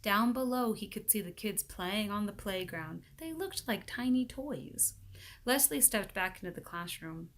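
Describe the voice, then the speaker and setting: A woman reading a children's book aloud as expressive narration, acting out the dialogue in an animated way. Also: mid-range voice, unhurried, slight background noise.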